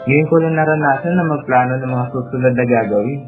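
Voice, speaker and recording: A man's voice: mid-range voice, fast, close to an everyday voice. Tender young Filipino dream companion played by a man, tinny sound. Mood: tender, grateful. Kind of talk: romantic radio drama dialogue. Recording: tinny band-limited audio, music bed. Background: clear background music.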